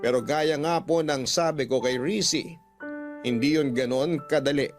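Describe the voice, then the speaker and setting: A man's voice: medium pitch, normal speed, over music. A man narrating a sentimental first-person story as a radio-style storyteller, reading out a letter.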